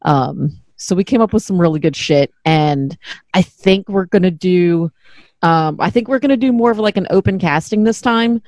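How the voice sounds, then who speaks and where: A woman's voice: fairly low pitch, normal speed, a little mellow, no echo. A middle-aged woman hosting a podcast in casual chat, candid and self-deprecating, swearing freely. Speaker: podcast host.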